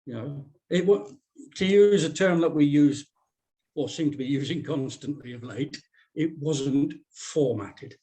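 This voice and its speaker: A man's voice: fairly high pitch, a little slow, dark tone. A man giving blunt, critical feedback on a script in informal discussion.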